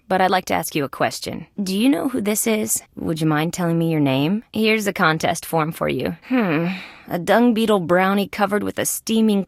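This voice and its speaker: A woman playing a young, wry, determined female insect police detective in a scripted adventure video game, with conversational, clearly spoken patter. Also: on the low side, no echo, studio quality, close to an everyday voice. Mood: polite, then disgusted.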